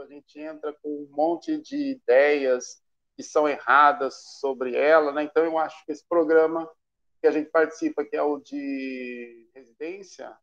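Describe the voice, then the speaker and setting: A man in his fifties, a high school chemistry teacher, speaking affably to students over a video call. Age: 50s. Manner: affable, self-deprecating humor. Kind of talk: casual talk to students. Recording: dull lo-fi call audio.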